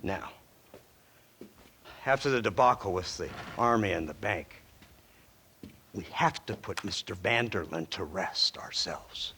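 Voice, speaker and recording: Smug American lawman villain, animated sweeping delivery, sharp tone, mocking speeches for a western game. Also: low-pitched, a little slow, gravelly, long pauses, slight background noise, exaggerated voice. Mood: breezy, cynical. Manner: mocking, rhetorical speechifying with chuckles. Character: smug, sardonic male government agent, ruthless and theatrical. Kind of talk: western video game cutscene acting.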